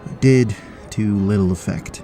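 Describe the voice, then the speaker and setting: A man's voice: lowish voice, unhurried, dark tone, slight background noise. A man playing a stoic, dutiful paladin in a fantasy audio drama, terse and formal. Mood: stoic, grave.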